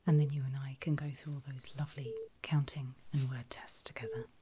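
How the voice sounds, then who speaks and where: A woman whispering in a breathy, gentle and reassuring way, unhurried, as a nurse in an ASMR medical roleplay. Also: rumbling low, flat delivery, slight background noise. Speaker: ASMR performer playing a surgical nurse.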